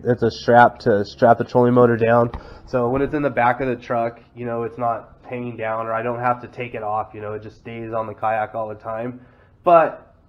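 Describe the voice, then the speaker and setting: A Texan man with a stuffy, congested voice from a head cold, chatting loosely about his kayak setup. He rambles with plenty of fillers and restarts at a middling pitch. The recording sounds a little dull, with faint background noise. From Texas. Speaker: kayak vlogger. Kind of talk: casual show-and-tell walkthrough.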